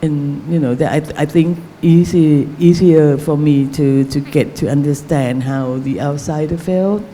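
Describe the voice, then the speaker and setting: A woman with a Thai accent, speaking English as a novelist on an academic panel, halting and searching for words with many fillers. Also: deep voice, steady tone, mellow, slight background noise. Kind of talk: panel discussion answer.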